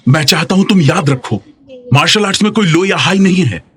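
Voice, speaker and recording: A man voicing a stern, principled middle-aged coach and father in a dubbed drama, with a husky voice and a firm, instructive manner. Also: high voice, animated, brisk, a bit bright. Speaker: martial arts coach and father. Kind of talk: dubbed screen drama dialogue.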